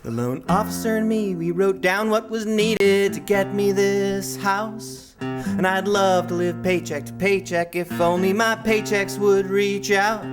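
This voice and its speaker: A woman's voice: mid-range voice, a little slow. A woman singing a folk ballad as a singer-songwriter, accompanied by guitar. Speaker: singer-songwriter performing at a variety show. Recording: loud acoustic guitar accompaniment.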